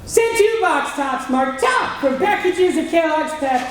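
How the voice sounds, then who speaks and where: A woman's voice: high-pitched, expressive, measured pace. A woman reading an old-time radio sponsor advertisement with emphatic promotional delivery. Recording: very echoey room, faint noise.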